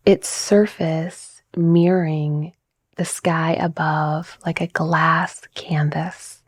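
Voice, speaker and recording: A woman softly narrating a guided relaxation visualization in a breathy, soothing, measured manner. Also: fairly low pitch, no echo, clean studio sound. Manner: soft, breathy, soothing, gently measured delivery. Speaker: guided meditation narrator, mindset coach.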